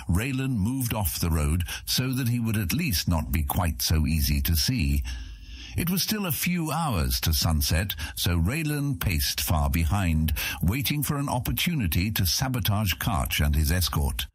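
A man reading fantasy fiction as a dramatic audiobook narrator with a husky voice. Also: low voice, very animated, unhurried, dry recording.

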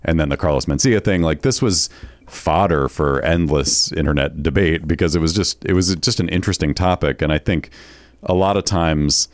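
A man's voice: a bass voice, normal speed, no echo. A man chatting casually as a podcast co-host in a wry, informal way.

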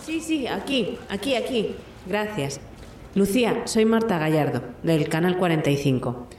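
A woman's voice: fairly low pitch, animated, a little slow. A woman playing an eager, earnest television reporter putting a question in an audio drama. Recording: roomy echo, slight background noise.

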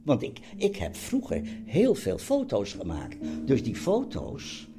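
A man talking casually in a halting way, rephrasing as he goes. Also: mid-range voice, wildly swooping pitch, over music. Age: 80s. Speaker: host's father, interviewee. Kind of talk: casual conversation.

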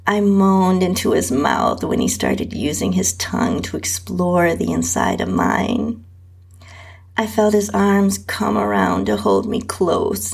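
A woman's voice: mid-range voice, vocal fry, slightly rough. A woman host narrating an intimate first-person erotic story.

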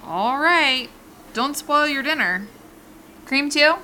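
A woman's voice: high-pitched, expressive, slow, sharp tone, a little hiss or hum, full character voice, poor audio. A woman playing a brassy, teasing, folksy diner waitress in an audio drama, familiar in manner. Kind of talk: naturalistic audio drama acting.

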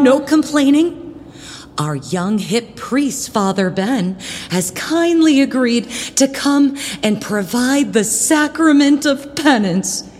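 A woman's voice: high-pitched, a little hiss or hum, exaggerated voice. Bossy, swooping-voiced church lady in reverberant hall. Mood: stern, theatrical. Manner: saccharine yet stern, passive-aggressive, dramatic pauses. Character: bossy, prim, judgmental church youth leader woman. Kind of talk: comedic audio drama acting.

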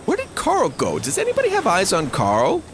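A man acts out a snarky host of a reality survival show in an audio comedy drama. His wry, mocking commentary moves in big swings of pitch, with music clearly underneath. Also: huge pitch swings, moderate pace.

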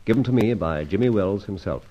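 An actor playing a chivalrous, masked gentleman thief in an old radio drama, speaking in a courtly, commanding, slangy manner. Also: on the low side, lively intonation, fairly quick. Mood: suave, assured. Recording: dull, lo-fi old radio recording.

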